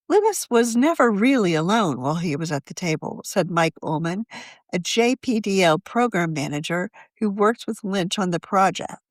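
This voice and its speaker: A woman reading a written article aloud as a magazine editor, in a measured, journalistic manner of scripted narration. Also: mid-range voice, dry recording, clean studio sound.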